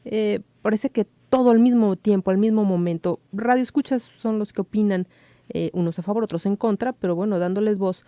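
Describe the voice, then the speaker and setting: A woman's voice: mid-range voice, a little fast, warm tone, no room sound. A woman hosting a radio news interview, asking conversational questions and thinking aloud.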